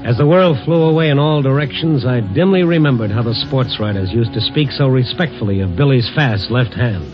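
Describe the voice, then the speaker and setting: Actor as a hard-boiled night reporter, muffled old radio. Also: male voice, medium pitch, a little fast, hardly any pauses, close to an everyday voice. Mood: wry, concerned. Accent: American. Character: streetwise, world-weary male newspaper night reporter. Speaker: lead actor and first-person narrator. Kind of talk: 1950s radio noir narration and acting. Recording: old muffled radio recording, music behind.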